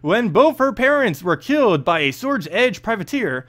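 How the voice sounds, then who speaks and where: A man reading a fantasy story aloud as narrator, expressive, now and then stumbling over a word. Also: sits high, moderate pace. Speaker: host reading game lore story aloud.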